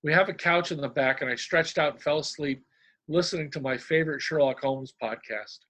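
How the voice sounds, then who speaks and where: A middle-aged man from Indiana, a humor columnist with a deadpan manner, sharing his work. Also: fairly high pitch, brisk. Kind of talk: reading a humorous diary-style column, then casual talk.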